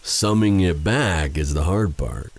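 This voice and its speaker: A man playing a feared dark sorcerer with a tender side, in a courtly, romantic fantasy ASMR roleplay style. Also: deep voice, expressive, takes it slowly, vocal fry, exaggerated voice. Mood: patient, encouraging. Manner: courtly, formal phrasing with endearments. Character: feared dark sorcerer, tender and flustered toward his captive. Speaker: voice actor in audio roleplay.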